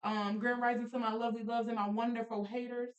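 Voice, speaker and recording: A woman hosting a casual faith podcast, animated and preachy, laughing often as she talks with friends. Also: fairly high pitch, fast, muffled recording. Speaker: podcast host.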